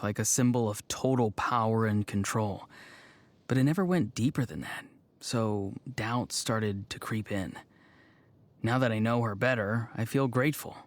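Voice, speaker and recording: An actor voicing a gentle, earnest and introspective young man in a video game, speaking in a halting, thoughtful and sincere way. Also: mid-range voice, dry recording, lightly acted. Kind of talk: video game character voice lines.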